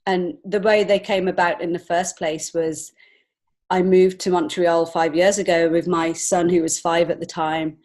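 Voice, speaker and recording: Female podcast guest, fairly low voice. Emotionally open, informal personal storyteller, an author talking about her own life. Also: little pitch movement, unhurried, a little mellow. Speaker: podcast guest, children's book author and illustrator.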